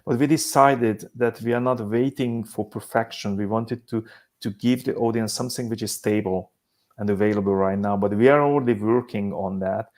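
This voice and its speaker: Hungarian-accented man, halting speech. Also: on the low side, fairly even intonation, darkish tone. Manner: halting, many restarts and repeated words. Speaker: guest, business simulation company head. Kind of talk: casual discussion.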